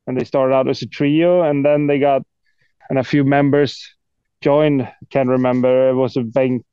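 A man's voice: medium pitch, mellow, clear, resonant tone, dry recording, muffled recording. A man from Sweden with a Swedish accent chats casually as an interview guest, in a hesitant, self-deprecating way. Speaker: guest, death metal guitarist.

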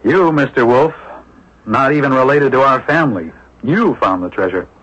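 An actor playing a composed male swindler under questioning in a radio drama, speaking in a measured, formal way. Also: medium pitch, expressive, fairly bright tone, husky. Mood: confessional, rueful. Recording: dull, lo-fi old radio recording.

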